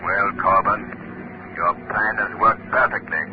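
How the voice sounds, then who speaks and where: Male actor as mocking invisible avenger, deliberate and menacing, 1930s radio acting, thin noisy recording. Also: fairly low pitch, steady tone, narrow, tinny audio, close to an everyday voice.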